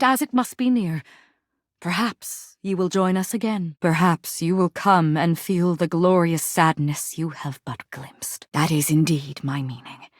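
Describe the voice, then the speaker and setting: A woman playing a proud, brooding, war-weary commander in a video game, speaking in archaic, poetic lines with solemn pauses and heavy melodrama. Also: mid-range voice, no echo, clean studio sound, lightly acted. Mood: softening, solemn. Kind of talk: dramatic video game character voice acting.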